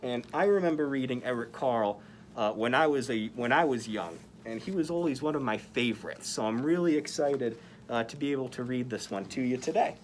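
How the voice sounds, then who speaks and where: A storyteller reading a picture book aloud to children in a slow, animated way. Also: mid-range voice, slight background noise. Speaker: library story-time reader dressed as Spider-Man.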